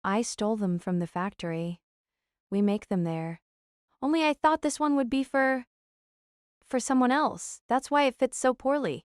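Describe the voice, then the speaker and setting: A woman's voice: fairly high pitch, normal speed, smooth, no echo, studio quality, close to an everyday voice. A woman playing a weary, earnest runaway fugitive in an audio drama.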